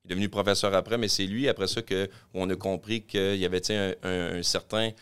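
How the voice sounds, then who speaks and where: Fast-talking deep-voiced Québécois man. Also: steady tone, a bit bright, clear, resonant tone. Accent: Québécois French. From Quebec City, Canada. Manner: fast, rambling storyteller with many fillers. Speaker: podcast guest, clothing-store co-founder. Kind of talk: casual interview chat.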